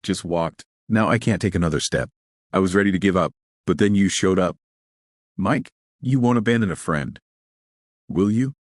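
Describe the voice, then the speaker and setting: A man's voice: sits low, moderate pace, pauses often, no echo, clean studio sound. A man voicing a ghostly illusion of a stranded friend in an audio story, weary and coaxing. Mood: weary pleading.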